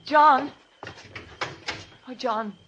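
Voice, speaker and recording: A woman's voice: sits high, extremely slow, a bit bright, muffled recording, a little hiss or hum, heavily acted. An actress playing an anguished, stubborn mother, insistent and melodramatic, in 1940s radio drama style. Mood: frantic defiance. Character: anguished, stubborn mother desperate for her son.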